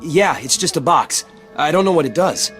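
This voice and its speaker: A man's voice: on the high side, expressive, normal speed, fairly bright tone, faint music behind. A man playing a frightened, guilt-ridden civilian in a video game, anxious and earnest.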